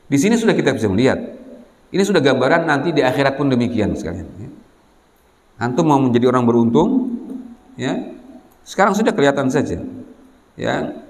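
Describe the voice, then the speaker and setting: Male preacher in a reverberant hall. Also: a little high. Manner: repetitive, admonishing, frequent "ya" check-ins to listeners. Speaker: Islamic boarding school teacher and preacher. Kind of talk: religious exhortation to students.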